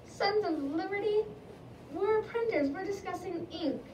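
A woman's voice: extremely high pitch, a little slow, warm tone, a little hiss or hum. A woman playing a guarded male printer who politely turns a visitor away, in an echoey room recorded from a distance.

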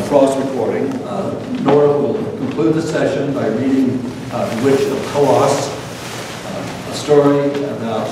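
Halting older man, distant in echoey room. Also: medium pitch. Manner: halting, hesitant. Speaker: event host. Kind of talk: introducing a reading. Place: large lecture room. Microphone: distant camera mic. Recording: echoey hall from far off, noisy.

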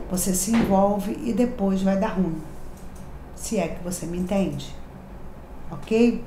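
A woman's voice: mid-range voice, mellow. A Brazilian woman in her thirties, a tarot reader talking to camera in a chatty, affectionate, confiding way, with emphatic repetitions. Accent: Rio de Janeiro Portuguese. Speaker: tarot reader and channel host. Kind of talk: daily tarot reading to camera. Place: decorated home room, indoors. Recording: echoey room, faint background hiss.

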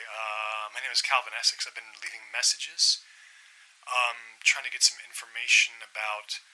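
A man's voice: medium pitch, sharp tone, poor audio. A polite but obsessed man in a naturalistic audio drama, played by an actor. He speaks in a middle register, hesitant and apologetic, yet keeps pressing on. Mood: awkward, insistent. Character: Cal, polite, persistent man obsessed with a mystery.

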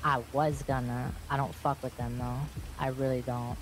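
A man's voice: mid-range voice, little pitch movement, moderate pace, a loud crowd, rough recording. A man roleplaying a laid-back character in a game, talking casually and often repeating himself. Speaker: roleplay player.

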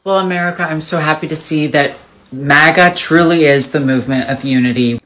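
A woman's voice: sits low, brisk, fairly bright tone, faint music behind. A woman giving an earnest, declarative political talk to camera. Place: indoor room.

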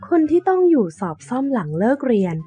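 A woman's voice: high voice, very animated, quick pace, warm tone, slightly rough. A woman narrating a scripted story for children as a storyteller.

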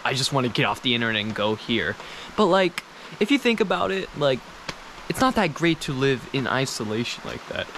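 A man's voice: mid-range voice, fairly bright tone, a little hiss or hum. Young guy doing a travel vlog outside, casual rambly self-deprecating chat. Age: young adult. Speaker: travel vlogger. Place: outdoors at a rural mountain train station in rain.

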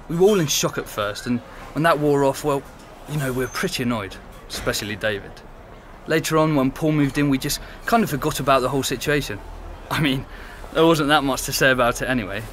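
A man's voice: medium pitch, lively intonation, brisk, a bit bright, close to an everyday voice. Audio drama: actor voicing sociable young man, open, light street hum. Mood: casual. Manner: open, conversational, reflective.

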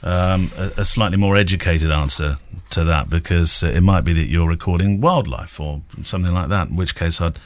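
A man's voice: low voice, brisk, dry recording. A man co-hosting a podcast in a small studio, speaking into a studio microphone in casual, jokey banter, often restarting his sentences with fillers. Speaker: podcast co-host. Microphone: studio podcast microphone with headphones.

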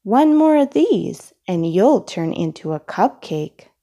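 A woman's voice: mid-range voice, very animated, takes it slowly, dark tone, exaggerated voice. A woman voicing a bossy but caring young rabbit big sister in a storybook, with a firm, instructing manner.

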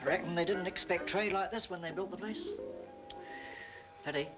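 An actor playing a wry, sceptical working-class English pub regular in a 1940s British film. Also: male voice, high voice, moderate pace, natural voice, low-quality recording. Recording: old 1940s film soundtrack with music.

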